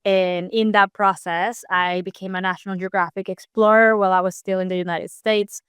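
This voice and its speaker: A woman from Peru speaks with a very clean, smooth voice, recorded dry and close with no room sound. She is a scientist answering interview questions, explaining at length with occasional restarts. Her delivery stays earnest and steady. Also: medium pitch, moderate pace, no room sound. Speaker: scientist guest.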